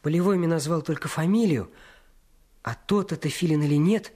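An actor playing an earnest, determined adolescent boy sleuth in a Russian radio play, with a husky voice. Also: on the high side, moderate pace, darkish tone, no room sound, close to an everyday voice. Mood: firm, reasoning.

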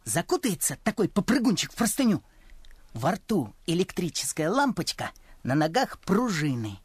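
An actor in a radio play voicing a boastful street-trader boy who tells tall tales in an excited, cheeky way. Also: medium pitch, normal speed, slightly rough, no echo. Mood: excited bragging. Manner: excited, bragging, cheeky storytelling. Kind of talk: Russian radio play acting.

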